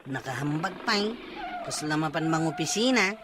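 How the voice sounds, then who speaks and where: A man's voice: a little high, lively intonation, moderate pace, noisy background, close to an everyday voice. A man with an Ilocano accent playing a nosy villager who keeps asking questions in a comic drama. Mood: curious.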